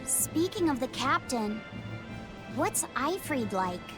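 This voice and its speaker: An actress voicing a gentle, curious and earnest young innocent in a video game, with a hesitant, simple way of asking. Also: female voice, sits high, sing-song, slow pace, full character voice. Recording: game music behind the voice.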